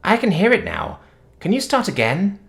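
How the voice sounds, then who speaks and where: A man's voice: sits high, huge pitch swings, normal speed, creaky, fairly bright tone, pitched above the performer's own voice, full character voice. A man voicing a small, nervous furry alien creature who speaks in an anxious, hesitant way. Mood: eager.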